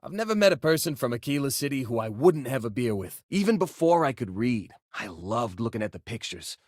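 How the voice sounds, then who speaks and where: Game companion: easygoing young male miner, joking, slangy, animated delivery, dry recording. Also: mid-range voice, a little fast, no room sound. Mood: laid-back. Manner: casual, wisecracking, drawling slang. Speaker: voice actor for a video game companion. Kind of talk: video game voice lines, conversational.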